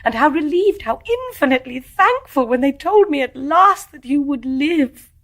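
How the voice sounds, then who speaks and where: An actress playing a young, guilt-ridden English wife in a radio drama, genteel and restrained in Received Pronunciation, tender and gently teasing but emotionally charged. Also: female voice, high-pitched, animated, normal speed. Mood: distressed pleading. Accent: British Received Pronunciation.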